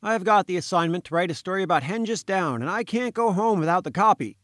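A man's voice: high voice, expressive, fast, fairly bright tone, no room sound, clean studio sound, pitched above the performer's own voice. A man voicing an indignant fat little newspaper reporter in a storybook, bleating and sputtering in protest. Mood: rueful, protesting.

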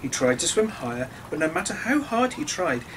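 Expressive, highly animated male storyteller. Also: a little fast, background noise. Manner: expressive, simple address to children. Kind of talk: children's storybook reading.

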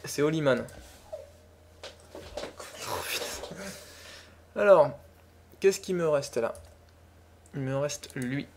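A young woman co-hosting a gaming talk show live stream, teasing and animated as she runs a guessing game. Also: low voice, noisy background. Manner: teasing, animated, quick laughs. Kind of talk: playful livestream quiz chat.

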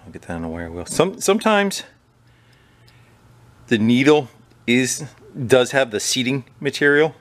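A man's voice: medium pitch, measured pace, pauses often. A man hosting a small engine repair video, chatting casually as he works at his bench and sometimes turning away from the mic. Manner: relaxed, chatty, talks while working. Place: workshop with a bench and wire wheel.

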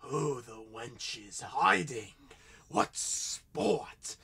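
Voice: mid-range voice, sing-song, drawn-out words, gravelly, pushed and bright, breathy edge, heavily acted. An actor playing a drunken, cruel and lecherous squire, blustering, taunting and leering in old-fashioned radio drama style.

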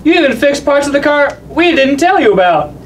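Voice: high-pitched, sing-song, a little fast, slight background noise. A performer voicing a mild, good-natured dad puppet in a children's show, hesitant and folksy. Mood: polite, pleased.

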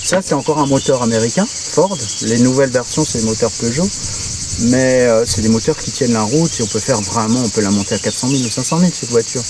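A middle-aged man talks casually and practically, explaining as he goes. His voice sits at an ordinary pitch, picked up from a step or two away in the open air, with clear outdoor noise around him. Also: fast talker, distant mic. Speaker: interviewee showing his camper van. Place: outdoors at the open back of a van in a grassy field. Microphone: handheld camera a step or two away.

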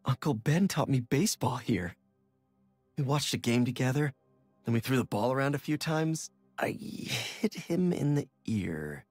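An actor playing a friendly young adult New York superhero with an American accent, making wry, conversational remarks in a video game. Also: male voice, medium pitch, animated, measured pace, darkish tone, faint music behind. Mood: wry nostalgia. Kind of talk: game voice acting, casual reflection.

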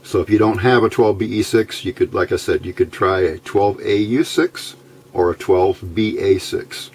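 A man giving a casual technical tutorial in a plain-spoken, methodical way, with hesitant pauses, as an electronics hobbyist at a workbench. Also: on the low side, breathy edge. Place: indoors at a workbench.

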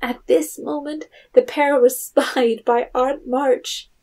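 A woman's voice: high-pitched, steady tone, slow, a little mellow, heavily acted. A woman narrator reading a scripted period story as storytelling narration.

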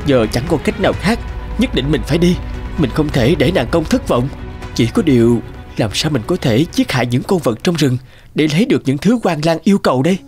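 An actor playing a poor, humble young suitor in an animated folk tale, respectful and earnest. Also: male voice, fairly high pitch, a little fast, soft background music. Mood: troubled, resolute. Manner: respectful, pleading, gentle.

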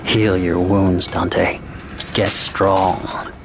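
An actor playing a cold, proud rival swordsman in a video game, speaking in a stern, deliberate way. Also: low-pitched, flat delivery, heavily acted. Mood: cold, resolute. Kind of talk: dramatic video game acting. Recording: loud music over the voice.

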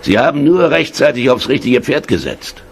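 An actor playing a menacing, sarcastic Nazi security officer in a radio crime drama, sneering and commanding. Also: male voice, medium pitch, very animated, moderate pace, slight background noise.

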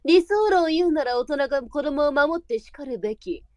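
Woman acting composed, high-voiced brothel madam. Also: animated, moderate pace, no echo. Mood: cool, probing. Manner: polite, coolly businesslike. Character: composed, shrewd brothel madam of old Japan. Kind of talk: Japanese period audio drama acting.

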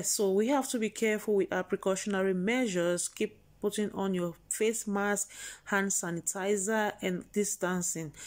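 Woman, news vlog host; chatty, exclaiming delivery, middle pitch. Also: a little mellow. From Cameroon. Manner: chatty, exclaiming, repeats points to the viewers.

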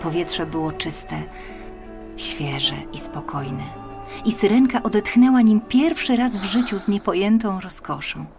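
A woman narrating a children's fairy tale audiobook expressively and dramatically, moving between hushed and swelling phrases. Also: medium pitch, animated, unhurried. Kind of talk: expressive scripted children's storytelling. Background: music.

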